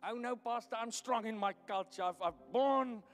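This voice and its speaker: A man's voice: very high-pitched, normal speed, fairly bright tone. A man mimicking a stubborn male churchgoer who insists on staying strong in his culture. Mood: insistent. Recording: echoey hall with music.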